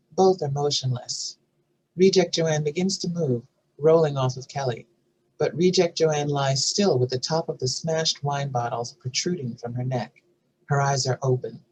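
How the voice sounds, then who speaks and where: A narrator reads stage directions from a script. The voice is medium in pitch, and there is faint noise behind it. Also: darkish tone, poor audio.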